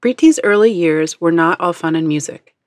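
A woman reporter narrating a scripted feature in an even, composed way. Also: lowish voice, normal speed, no room sound, studio quality.

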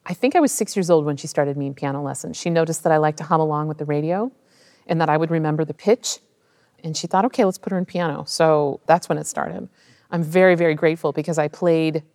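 A woman's voice: low voice, no room sound, clean studio sound. A woman, thoughtfully telling her life story in an interview. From United States (California). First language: raised bilingual in an Indian immigrant family. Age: 50s. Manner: reflective, candid, unhurried. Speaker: interview guest, opera singer.

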